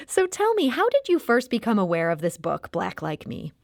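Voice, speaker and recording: A woman hosting a radio talk show, introducing and interviewing in a measured, articulate way. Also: fairly high pitch, wildly swooping pitch, vocal fry, darkish tone, no room sound, studio quality.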